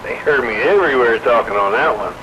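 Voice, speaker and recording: A man's voice: medium pitch, expressive, fast, muffled recording, noisy background. A man chatting casually as an operator over CB radio.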